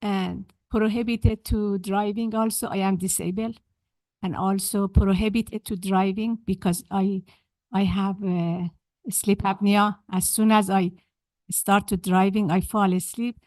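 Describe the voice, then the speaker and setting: A woman from Denmark giving an emotional, halting public comment at a city council meeting, in non-native phrasing. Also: medium pitch, fairly even intonation, darkish tone, no echo, poor audio. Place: council chamber.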